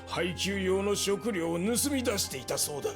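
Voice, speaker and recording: A man playing an excitable, accusing church follower in a Japanese visual novel, declaiming like a game voice actor. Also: high voice, fast talker, over music. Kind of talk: Japanese video game voice acting.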